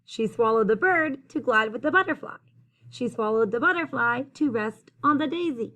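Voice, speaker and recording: A woman teacher reading a picture book aloud to young children in an expressive, sing-song, encouraging way. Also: fairly high pitch, moderate pace. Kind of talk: children's story read-aloud, addressing young listeners.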